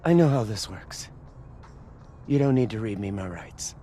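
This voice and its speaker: A woman's voice: extremely low pitch, expressive, moderate pace, warm tone, soft background music. A woman playing an indignant, sarcastic professor in a video game, with a biting, agitated delivery. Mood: sarcastic, defiant. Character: indignant, sarcastic professor being escorted off campus. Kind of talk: naturalistic video game voice acting.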